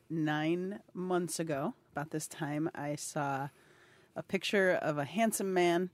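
A woman in her thirties asks warm, curious follow-up questions in a conversational interview. She sounds encouraging and engaged. The recording is dry and close. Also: sits low, no room sound. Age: 30s. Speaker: interviewer, health advocate. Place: indoors at a cultural center.

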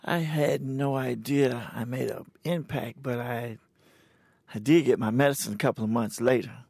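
An older man in his sixties talks with a deeply gravelly, husky voice. His delivery is plain and halting, unhurried as he tells his own story. The sound is dry and close. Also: fairly even intonation, darkish tone, no room sound. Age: 60s. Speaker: interviewee. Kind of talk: recorded personal conversation. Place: indoors at a cultural center.

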